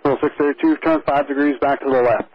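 A man working as an air traffic controller, giving calm, reassuring procedural instructions over the radio. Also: fairly high pitch, fast, lo-fi sound.

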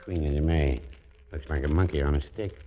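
An actor playing a dry, deferential, slyly mocking shopkeeper with a drawn-out deadpan manner in a 1940s radio comedy. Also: male voice, rumbling low, loud music behind the voice. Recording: noisy, dull vintage radio recording with clicks.

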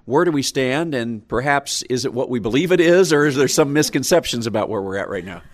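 A man hosting a farm radio program, asking questions in a measured, conversational way. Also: mid-range voice, expressive, no echo. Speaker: host of farm radio show. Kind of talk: radio interview.

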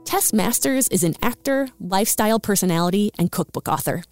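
Woman podcast host, warm and encouraging, lively pitch, faint music underneath. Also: fairly quick. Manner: warm, enthusiastic, encouraging interviewer. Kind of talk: scripted intro then casual interview.

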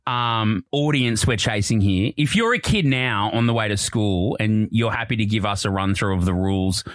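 A man's voice: fairly low pitch, normal speed, dry recording, studio quality. A middle-aged man with an Australian accent, bantering casually as a radio breakfast co-host. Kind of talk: casual radio banter, taking listener calls.

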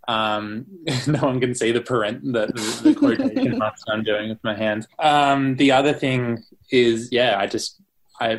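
A man's voice: medium pitch, low-quality recording. A young Australian man, a musician being interviewed, chatting casually and rambling with lots of fillers and restarts. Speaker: musician guest.

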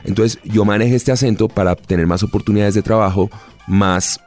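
Spanish-accented man, 30s, deep low register, relaxed talker who restarts often; faint music behind. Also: brisk. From Spain. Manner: easygoing, chatty, with frequent restarts. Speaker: actor guest. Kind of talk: relaxed interview answers.

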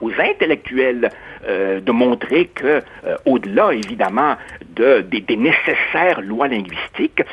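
Man from Uruguay, radio columnist, husky voice, lively pitch, dull lo-fi radio sound. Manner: measured, expressive, stammering restarts. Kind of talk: opinionated radio debate.

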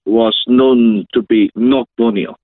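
A middle-aged man with a Malaysian accent, a pastor answering interview questions in halting, measured phrases over a video call. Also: mid-range voice, fairly even intonation, no echo. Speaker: senior pastor, interview guest. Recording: thin phone-like video call audio.

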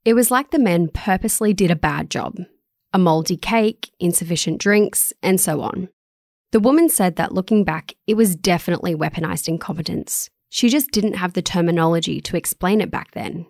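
An Australian woman narrates a written article. Her voice sits a little low, and the sound is very dry. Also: moderate pace, gravelly, darkish tone, no room sound. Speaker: writer reading own article.

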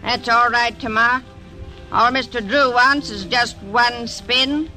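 A woman's voice: a little high, fairly even intonation, slow pace, sharp tone, faint music behind, rough recording. An actress playing an imperious woman who runs a casino, giving commands in 1940s radio acting. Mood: commanding.

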